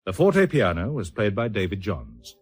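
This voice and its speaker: A man reading scripted credits as a formal, measured radio announcer. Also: on the low side, very animated, a little mellow.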